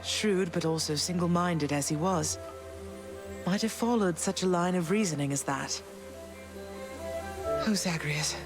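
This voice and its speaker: Game role: a lofty, rueful minstrel, very high register, loud music beneath. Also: male voice, measured pace, long pauses. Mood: regretful. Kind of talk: video game voice acting.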